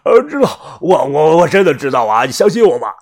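Man voicing pleading old villain, shrill and frantic. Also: lively intonation, normal speed, fairly bright tone. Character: old male captive villain, begging desperately.

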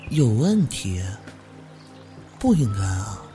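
A man's voice: lowish voice, big pitch swings, takes it slowly, faint music behind. An actor playing a cheerful, good-hearted young man who chats eagerly and laughs easily in an audio drama. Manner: bright, chatty, laughs easily.

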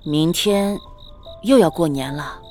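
A composed young gentleman of a period Chinese audio drama, played by a woman in a low register. He is a tender husband and dutiful son who speaks politely over soft music. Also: slow pace. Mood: calm, dutiful.

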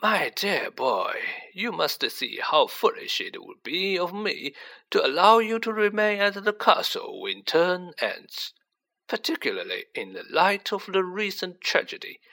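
A man voicing a kindly old wizard headmaster with a feeble, wavering voice, speaking slowly in a storybook reading. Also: sits high, very animated, vocal fry, pushed and bright, voice pushed up, heavily acted. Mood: grave, concerned. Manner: slow, kindly, sighing.

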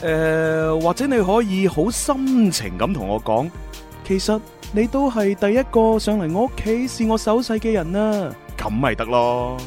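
Casting: young undercover agent in radio drama, teasing and probing, lively intonation. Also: male voice, high voice, a little slow, faint music behind. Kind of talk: Cantonese radio drama acting.

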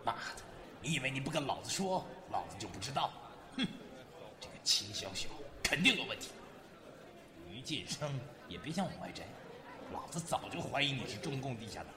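A man's voice: mid-range voice, sing-song, brisk, lightly acted. A man playing a crude, swaggering secret agent who needles and menaces, in a radio drama. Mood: threatening. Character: coarse, menacing male Republican-era secret agent. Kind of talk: Chinese radio drama acting. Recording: busy crowd noise behind.

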